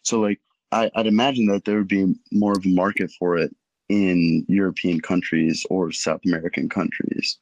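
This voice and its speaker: A man's voice: low-pitched, little pitch movement, normal speed, a little mellow, muffled recording. A man co-hosting a podcast, musing in a hesitant, casual way. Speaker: podcast co-host. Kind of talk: casual chat.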